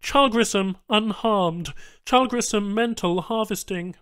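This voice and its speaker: A man's voice: high voice, unhurried, a bit bright, clear, resonant tone, no echo, studio quality, voice pushed up. A man voicing a matter-of-fact alien technician in a science fiction audiobook, speaking emphatically in clipped, stilted broken phrases. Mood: emphatic.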